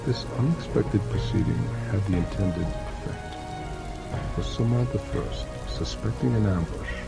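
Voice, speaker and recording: A man narrates scripted material for an audiobook in a measured storytelling manner. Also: lowish voice, vocal fry, poor audio. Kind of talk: scripted narration of a historical anecdote. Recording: loud music and rain behind the voice. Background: prominent music with rain.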